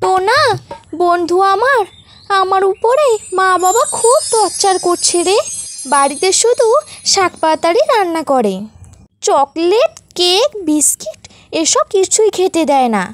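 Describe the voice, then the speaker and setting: A woman's voice: extremely high pitch, very animated, measured pace, faint music behind, voice pushed up, heavily acted. A woman voicing a naive, complaining young bird schoolchild in a cartoon story. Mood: sulky. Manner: pleading, complaining.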